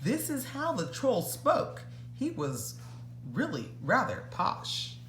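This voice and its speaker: A woman reading a rhyming bedtime story aloud with expressive narration. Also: fairly high pitch, slow, reverberant, slight background noise.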